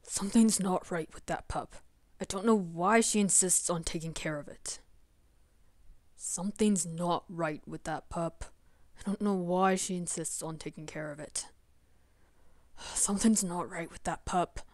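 A woman voicing a measured, firm adult wolf of the pack for a comic dub. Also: lowish voice, fairly even intonation, a little mellow, no echo, voice pushed down, lightly acted. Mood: suspicious.

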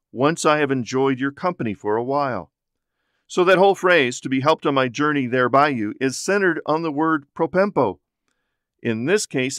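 A man's voice: medium pitch, a bit bright, no echo, clean studio sound. A man giving a conversational, explanatory Bible teaching talk as a podcast host.

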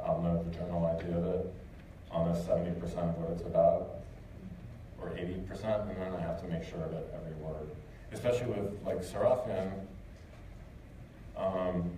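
A low-pitched man fields audience questions in a loose, chatty way, full of fillers and restarts. He is picked up from across a big, reverberant lecture hall, with steady background noise under his voice. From United States. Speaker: lecturer and translator. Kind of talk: casual Q&A after a talk. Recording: echoey hall, distant mic, noisy.

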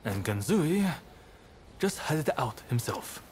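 A rebel samurai from a video game, guarded and grudging. His voice is of average pitch and the cinematic lines sit over a slight bed of ambient noise. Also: big pitch swings, slow, a little mellow. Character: wary, grudging adult rebel samurai swordsman. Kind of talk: acted video game cutscene dialogue.

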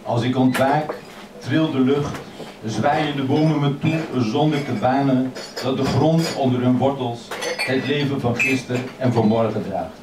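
A man reading his poems aloud in a measured, expressive way at a microphone in a crowded old café. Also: mid-range voice, far from the mic, background noise. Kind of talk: poetry reading. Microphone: stand mic, filmed from across the room. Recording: echoey café filmed from afar, loud chatter.

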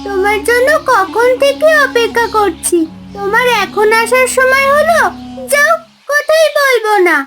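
A woman's voice: very high voice, a little slow, over loud music. A woman playing a sweet, anxious village girl in a cartoon fairy tale, with a girlish, plaintive manner. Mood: pouting.

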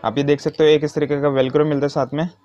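A man's voice: a little high, level tone, rapid-fire, a little mellow. A man giving an informal hands-on tutorial step by step, mixing in English words. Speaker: motovlogger giving a how-to demonstration. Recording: dull, muffled lo-fi sound.

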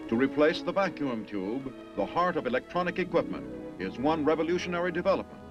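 A man's voice: a little high, lively intonation. 1950s American newsreel-style male narrator, dull soundtrack. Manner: formal, emphatic, measured phrasing. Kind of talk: 1950s military documentary scripted narration. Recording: dull vintage film soundtrack with music.

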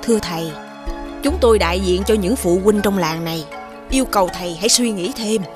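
A woman voicing a haughty, hostile village matron in an animated folktale, with an accusing, imperious way of talking. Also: mid-range voice, brisk, music in the background, lightly acted. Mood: haughty, demanding.

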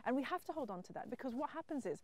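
A woman's voice: sits high, expressive, very fast. A middle-aged woman from Zimbabwe, a writer and entrepreneur, speaking earnestly and reflectively about her life in a personal interview. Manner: earnest, reflective, emphatic at times. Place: wheat field outdoors.

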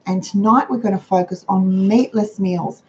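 Hoarse older Australian woman chatting. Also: steady tone, moderate pace. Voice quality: chatty, homely manner. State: hoarse, croaky voice. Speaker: host of a frugal living livestream. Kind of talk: casual livestream talk. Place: home dining room. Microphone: webcam on a computer. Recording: dull low-fi webcam sound with faint noise.

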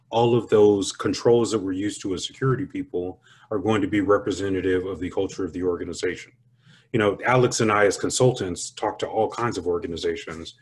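Low, husky-voiced man presenting. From born in Italy, lives in the United States. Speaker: cybersecurity consultant presenting. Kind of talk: webinar presentation, explanatory.

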